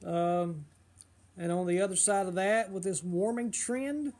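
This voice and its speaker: A middle-aged man, slightly hoarse, chatting casually on a fishing livestream and giving advice with lots of uh and um. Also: high-pitched, measured pace, a little mellow. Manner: rambling, full of uh and um fillers.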